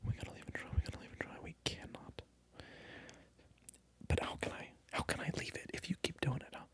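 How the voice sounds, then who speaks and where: A man whispering through a comedic ASMR role-play, mock-indignant and repeating his phrases over and over. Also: brisk. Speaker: ASMR creator.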